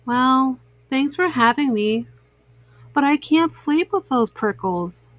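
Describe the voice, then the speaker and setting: A woman's voice: sits high, animated, slow pace, no room sound. A woman voicing a polite, childlike little boy bunny in a bedtime storybook.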